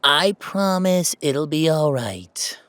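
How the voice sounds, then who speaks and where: A man's voice: on the high side, animated, takes it slowly, fairly bright tone, smooth, no echo. Man acting a chatty, anxious young guy, dry close sound. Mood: fond, rambling. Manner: chatty, hesitant, rambling. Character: affectionate, anxious, self-deprecating young gamer, wary of family. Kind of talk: conversational audio roleplay acting.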